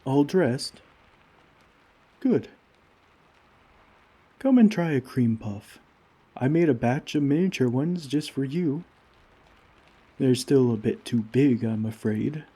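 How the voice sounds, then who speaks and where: Man playing a slow, soft-toned gentle giant. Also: mid-range voice, animated, slightly rough. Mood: doting. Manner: formal, courteous, tender, old-fashioned wording. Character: gentle, kindly giant, caring friend to someone tiny. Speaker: roleplay audio performer. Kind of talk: ASMR comfort roleplay, scripted.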